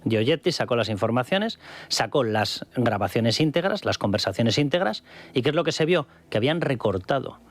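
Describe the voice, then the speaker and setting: A man from Spain delivering combative, sarcastic political commentary on a radio news show. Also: mid-range voice. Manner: combative, sarcastic, emphatic repetition.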